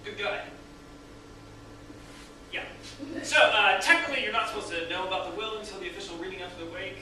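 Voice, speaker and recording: A man's voice: high-pitched, a little fast, pushed and bright. A man playing a crass, joking accountant buddy in a staged reading, with loose, profane phrasing, recorded from afar in an echoey room. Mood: casual, awkward. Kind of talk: staged screenplay reading, casual dialogue. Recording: distant, very echoey room with noise.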